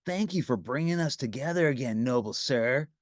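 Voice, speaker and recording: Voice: mid-range voice, expressive, moderate pace, no room sound, clean studio sound, lightly acted. A performer voicing a handsome, lovestruck young prince in a children's storybook, hearty and courtly in manner. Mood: courtly, eager.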